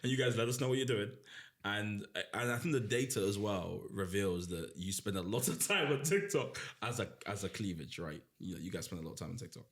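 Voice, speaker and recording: A man hosting a casual podcast, teasing his guest, laughing often and dropping into quiet asides. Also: lowish voice, animated, brisk. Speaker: podcast host answering questions.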